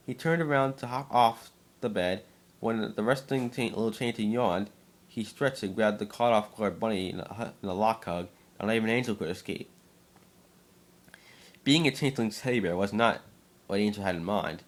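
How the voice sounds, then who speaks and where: Male amateur narrator, slightly low voice, occasional stumbles over words. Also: moderate pace. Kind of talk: dramatic story reading by an amateur.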